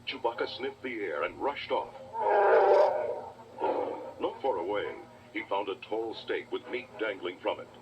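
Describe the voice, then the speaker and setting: A man's voice: lowish voice, measured pace, pauses often, lo-fi sound, very noisy. A man giving dramatic scripted narration for a children's read-along adventure. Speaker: storybook narrator. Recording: old cassette, music behind. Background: music.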